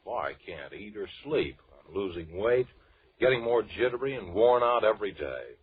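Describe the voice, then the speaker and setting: A man's voice: on the low side, sing-song, moderate pace. An actor playing a discouraged, worn-out older working man, plaintive and folksy, in a 1940s radio commercial. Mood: weary, discouraged. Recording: tinny lo-fi old radio recording.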